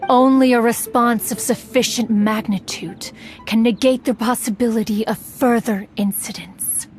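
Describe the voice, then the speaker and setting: A woman's voice: on the high side, steady tone, a little mellow, soft background music, lightly acted. A woman playing a proud, aloof, ancient immortal hermit in a video game, speaking in formal, archaic phrases. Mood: stern, cold. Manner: haughty, formal, archaic, measured. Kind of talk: theatrical video game voice acting.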